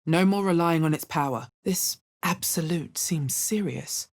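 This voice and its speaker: A low-voiced female adventurer from a fantasy video game. Her voice is husky and bass-heavy. She speaks with measured, understated seriousness, like someone level-headed and on guard. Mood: resolute.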